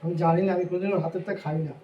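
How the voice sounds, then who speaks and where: A man acting as an evasive young husband. He pleads and justifies himself in a high, hurried voice, in amateur drama style, slightly muffled in an echoey room. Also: steady tone, lightly acted. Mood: defensive. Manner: pleading, repetitive, self-justifying. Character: evasive young husband, devoted to his lover, dismissive of his wife. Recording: echoey room, distant mic, muffled, faint noise.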